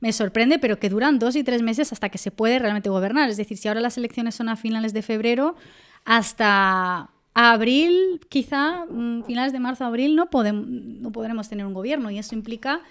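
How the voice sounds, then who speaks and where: A woman's voice: on the high side, brisk, clean tone. A woman from Spain with a Castilian accent, explaining things in articulate detail as a guest analyst in a conversational podcast interview. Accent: Castilian Spanish. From Spain, La Mancha. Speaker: guest journalist and political analyst.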